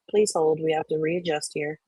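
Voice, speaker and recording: A woman's voice: low-pitched, pushed and bright, breathy edge, no echo, low-quality recording. A woman co-host chatting informally and profanely between readings of online comments. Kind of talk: casual banter and dramatic readings.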